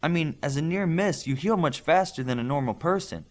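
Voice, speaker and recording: Male narrator playing young woman healer, audiobook superhero, higher pitched, bossy, clinical, brisk. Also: animated, natural voice. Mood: intrigued. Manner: brisk, matter-of-fact explaining and scolding.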